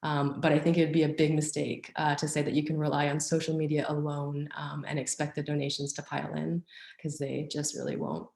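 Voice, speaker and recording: A young woman with a deep, low voice gives a conference talk while nursing a cold. She keeps it upbeat, with plenty of ums. The room around her rings with echo. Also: flat delivery, brisk, vocal fry, darkish tone. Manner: upbeat, filler-heavy presenting. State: has a cold and cough. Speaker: nonprofit communications officer. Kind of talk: online conference presentation.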